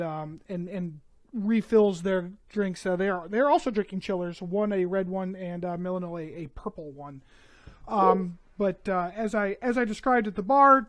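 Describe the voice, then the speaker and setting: A man's voice: sits high, a bit bright. A young man running a tabletop game as game master, narrating with many hesitations into a headset mic.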